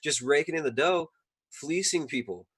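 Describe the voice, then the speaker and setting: A man's voice: on the high side, normal speed, fairly bright tone, slightly rough, dry recording. A man debating casually as a panelist on a live online show, earnest, emphatic and opinionated.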